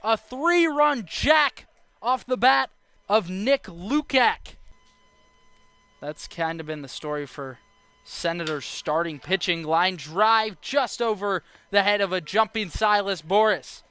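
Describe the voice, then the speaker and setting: A young American man with a high, bright voice calls baseball play-by-play. He takes his time between phrases, describing the game as a broadcast announcer. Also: takes it slowly, clean tone, dry recording. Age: young adult. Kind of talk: live baseball radio and TV play-by-play commentary.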